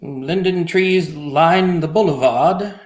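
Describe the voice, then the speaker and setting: Woman playing a slow, stiff, prim gentleman. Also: on the low side. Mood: guarded, stiff. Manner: stilted, deliberate, haughty. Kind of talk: theatrical table read of a comic play. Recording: echoey, roomy microphone sound.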